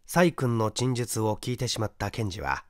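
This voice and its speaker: A man reading a literary mystery as dramatised narration, in a formal, measured storytelling manner. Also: on the low side, animated, no echo, clean studio sound. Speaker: audiobook reader, stage actor.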